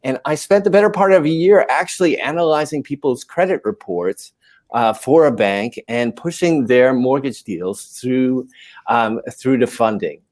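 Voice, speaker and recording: A man's voice: mid-range voice, normal speed, darkish tone. A man from New York City with an American accent, telling his own story conversationally as a panel guest. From New York City, USA. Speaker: panel guest, managing editor. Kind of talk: conversational personal account.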